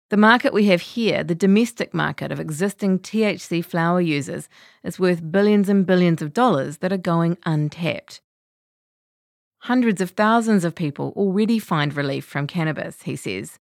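Kiwi woman reading a news feature, lowish voice, really dry recording, bit of music. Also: no room sound. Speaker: journalist reading own long-form article. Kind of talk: scripted narration.

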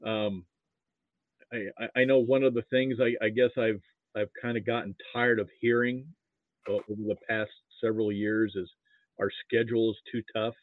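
An American man from Nebraska chatting casually about sports as a guest, haltingly repeating words as he thinks. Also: lowish voice, fairly even intonation, no echo. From Nebraska, United States. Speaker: podcast guest, sports fan. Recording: muffled phone-like line.

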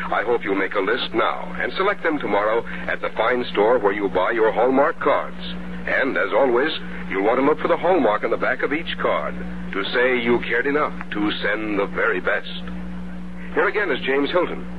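A male American announcer delivers copy with earnest polish in 1950s radio style. The recording is dull and old, with music clearly behind him. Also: mid-range voice, noisy background. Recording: vintage 1950 radio broadcast, music behind.

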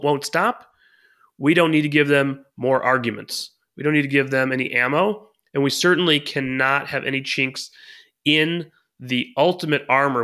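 A middle-aged man co-hosting a podcast, explaining his views at length in a measured way. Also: mid-range voice, sharp tone. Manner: measured, long-winded explaining. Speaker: podcast co-host. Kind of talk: podcast talk and interviewing.

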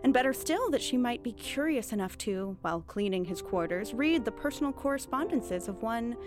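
A cunning, well-read thief in a period audio drama , acted by a woman. Her voice sits fairly high, with clear music underneath. She speaks in articulate, mock-courteous sentences, teasing and entirely sure of herself. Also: lively intonation, brisk, close to an everyday voice. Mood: sly, explanatory. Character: cunning, bookish woman thief posing as a witch.

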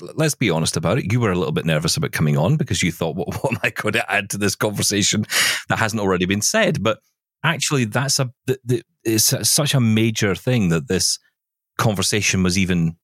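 A man's voice: deep voice, no echo, studio quality. A man hosting a conversational interview, speaking emphatically and repeating phrases to stress his point. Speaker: show host interviewer.

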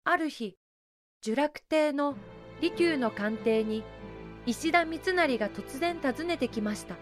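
High-voiced female drama narrator. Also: lively intonation, slow pace, faint music behind. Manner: formal, storytelling. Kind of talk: scripted historical drama narration.

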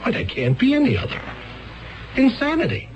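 An actor in a radio mystery drama playing an incredulous, exasperated defense attorney who stammers and gets wry. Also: male voice, extremely high pitch, wildly swooping pitch, normal speed, heavily acted. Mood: flustered, disbelieving. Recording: muffled old radio broadcast.

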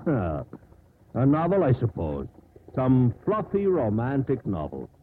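Casting: hearty, scornful ship's officer, very animated mid-pitched voice, thin vintage radio sound. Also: male voice, slow pace. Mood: amused, dismissive. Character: scoffing, jovial sailing-ship first mate. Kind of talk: 1950s radio drama acting. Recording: muffled, hissy 1950s radio broadcast.

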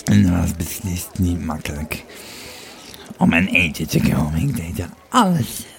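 A man performs an aged legionary, tipsy and rambling, recounting army days in an audio play. The voice is low and slow, with theatrical swings in pitch. It halts and picks up again like a dramatic tavern storyteller. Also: very animated, creaky, full character voice. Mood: grim reminiscing. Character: old tipsy Roman legionary retelling his war days.

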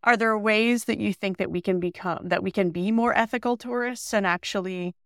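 A woman's voice: mid-range voice, moderate pace, a bit bright, dry recording, studio quality. A woman co-hosting a podcast, asking interview questions carefully and rephrasing as she goes. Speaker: podcast co-host.

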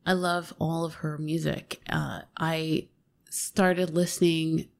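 A woman's voice: low voice, flat delivery, slow, gravelly. A woman, a singer, chatting thoughtfully in an interview, often restarting her sentences. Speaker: singer and guest. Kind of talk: casual interview chat.

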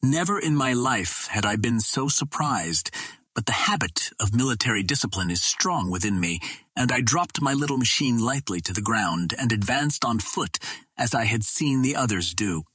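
A man's voice: mid-range voice, animated, moderate pace, a bit bright, slightly rough, no echo. A man reading a first-person adventure novel aloud as scripted audiobook narration. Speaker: audiobook reader.

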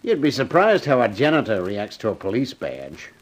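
An actor playing a shrewd, dry, blunt police lieutenant with slangy talk in a 1950s radio detective drama. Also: male voice, medium pitch, huge pitch swings, moderate pace. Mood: dry, sardonic. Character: shrewd, sardonic male police homicide lieutenant. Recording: vintage 1950s radio recording.

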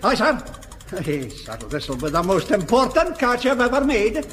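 An actor playing an eager old Scottish Home Guard soldier in a radio sitcom. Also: male voice, sits high, huge pitch swings, unhurried, a bit bright, long reverb tail, slight background noise, exaggerated voice. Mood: eager, dutiful. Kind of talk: 1970s radio sitcom acting.

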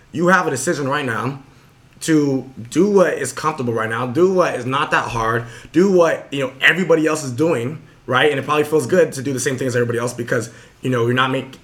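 A man's voice: medium pitch, fairly bright tone. A young man hosting a podcast, telling a motivational story in a casual, earnest way with lots of fillers. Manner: fast, earnest, full of fillers. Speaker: podcast host.

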